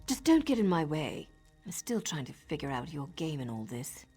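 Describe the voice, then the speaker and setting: An actress playing a cool, sardonic female elf assassin in a video game, with a husky voice and a dry, confident, often hushed delivery. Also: sits low, very animated, moderate pace, faint music behind, heavily acted.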